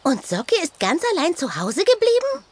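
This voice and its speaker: A woman playing a sharp, suspicious young beaver girl in a children's audio drama, assertive and questioning in a childlike way. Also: high voice, huge pitch swings, normal speed.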